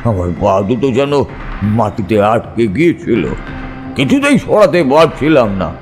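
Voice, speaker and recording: A man narrating a scripted ghost story in a suspenseful, expressive, dramatic manner. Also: mid-range voice, a little slow, faint music behind.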